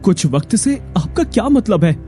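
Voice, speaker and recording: A man's voice: high voice, big pitch swings, normal speed, mellow. A man playing a commanding but anxious young father and grandson in an audio drama, formal and direct. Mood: anxious, demanding. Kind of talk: Hindi audio drama acting. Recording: music bed under voice. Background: dramatic background music.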